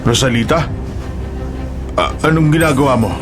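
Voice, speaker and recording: A man's voice: medium pitch, moderate pace, lightly acted. A man playing a bewildered male household helper in a radio horror drama. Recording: dramatic music underneath.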